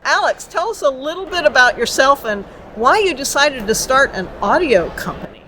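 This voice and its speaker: Female interviewer, bright and fairly high, live on location with faint background noise. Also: sing-song, normal speed. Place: live at a convention.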